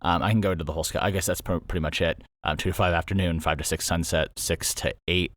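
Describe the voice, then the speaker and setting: A man's voice: low voice, fairly even intonation, fast talker, fairly bright tone, no echo. A man hosting a casual, unscripted solo update about his creative work, thinking aloud in a loose, rambling way. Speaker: audio drama creator and host.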